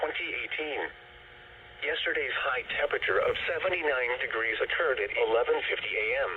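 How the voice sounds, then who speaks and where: A male weather radio announcer comes through a narrow, tinny radio signal with light noise. His voice is mid-pitched and synthetic, reading forecasts and data in a formal, measured, automated way. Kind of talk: scripted marine forecast and weather report reading. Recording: noisy, tinny, band-limited weather radio broadcast.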